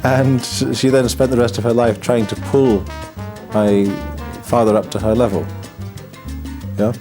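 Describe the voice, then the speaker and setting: A man from the north of England, a Buddhist monk, speaking in a documentary interview in a reflective, halting way, often restarting his words and adding wry humor. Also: mid-range voice, fairly even intonation. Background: background music.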